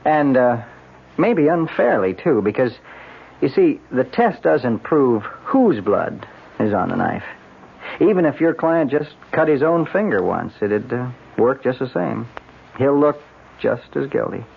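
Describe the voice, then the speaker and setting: Methodical American doctor, calm and dry, gravelly voice, muffled vintage radio recording. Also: male voice, medium pitch, a little slow, exaggerated voice. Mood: dry, cautioning. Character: methodical male forensic doctor, calm and knowing. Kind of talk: old-time radio drama acting.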